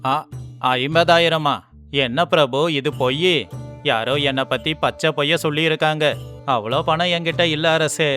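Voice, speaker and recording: Whining miserly merchant. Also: male voice, on the high side, moderate pace, bright, forceful tone, soft background music. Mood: defensive protest. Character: miserly, arrogant rich merchant, pleading and sly. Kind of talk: animated moral story acting.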